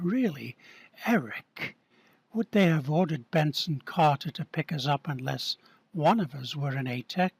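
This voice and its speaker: A man's voice: fairly high pitch, gravelly, slightly rough, no echo, heavily acted. An actor playing a desperate young British man held captive, pleading in upper-class slang, in an amateur radio play reading.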